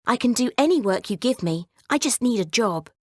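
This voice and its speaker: A woman's voice: a little high, expressive, brisk, no echo, clean studio sound, pitched above the performer's own voice. A woman voicing an eager, earnest young horse-loving girl in a children's storybook. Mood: eager, hopeful.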